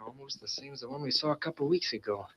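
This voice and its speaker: A male actor playing an earnest, excitable fourteen-year-old cowboy in an old western radio drama. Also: medium pitch, fast talker, close to an everyday voice. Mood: surprised. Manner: excitable, urgent. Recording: muffled, noisy vintage radio recording.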